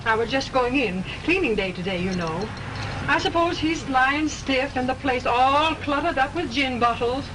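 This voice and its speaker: A woman's voice: sits high, natural voice. Actress as a nagging, fussy landlady. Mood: grumbling. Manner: complaining, rapid chatter. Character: fussy middle-aged landlady, nagging and self-pitying. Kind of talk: 1930s film acting. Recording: hissy 1930s film soundtrack.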